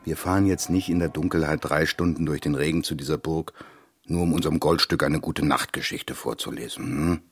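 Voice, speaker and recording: A man's voice: extremely low pitch, fairly even intonation, brisk, slightly rough, dry recording, soft background music. An actor playing an easygoing, placating middle-aged father with a wry manner in a children's radio play. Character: easygoing, soothing father of a schoolboy.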